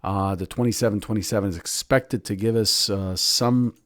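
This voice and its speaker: Hoarse low-voiced man analyzing markets. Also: normal speed, no echo, clean studio sound. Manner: steady analytical talk with frequent throat clearing. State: losing his voice, hoarse. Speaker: professional trader and show host. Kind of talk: daily market analysis commentary.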